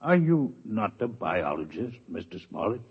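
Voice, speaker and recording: Deep breathy alien doc, super formal and calm, muffled old radio sound. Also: male voice, heavily acted. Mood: measured. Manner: precise, formal, unhurried diction. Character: calm, formal male alien doctor from another planet. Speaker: visiting alien scientist. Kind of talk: 1950s radio drama acting. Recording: dull lo-fi 1950s radio broadcast.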